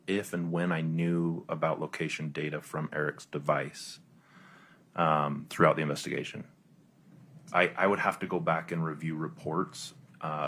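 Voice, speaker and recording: A male investigator testifying in a courtroom, answering in a halting, careful, hedging way. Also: low voice, a bit bright, slight background noise. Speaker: police investigator testifying as witness.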